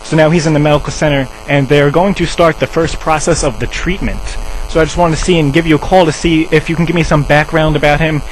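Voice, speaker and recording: A man's voice: steady tone, fast, lightly acted. A man playing an officious, defensive drug treatment center staffer in a rambling, insistent improvised prank phone call. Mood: matter-of-fact. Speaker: prank caller. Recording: noisy low-quality cell phone call, hum.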